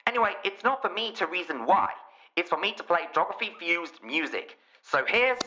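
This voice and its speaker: A man's voice: high-pitched, very animated, normal speed, background noise. An English man playing a pompous, pedantic geography radio DJ in a comedy radio play, with presenter patter through a radio filter. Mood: smug, explanatory. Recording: dull band-limited radio sound.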